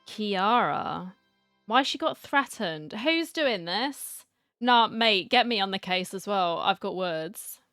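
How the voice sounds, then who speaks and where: A woman's voice: medium pitch, lively intonation, slow pace, a bit bright, dry recording, studio quality, faint music behind. Chatty, cheeky woman reacting to a game. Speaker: gamer reacting to a story quest. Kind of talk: casual reaction commentary.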